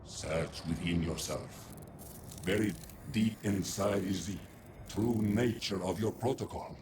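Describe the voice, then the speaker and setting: A philosophical machine overlord in a video game. The voice is deep and slow, with cryptic, solemn weight. Noisy ambience lies behind it. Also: male voice. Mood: solemn, probing. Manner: slow, portentous. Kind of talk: video game cutscene acting.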